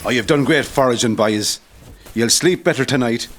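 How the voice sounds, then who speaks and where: A man's voice: mid-range voice, normal speed, fairly bright tone, slight background noise, close to an everyday voice. An actor playing an encouraging rebel officer addressing his men in an audio play. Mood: approving, brisk.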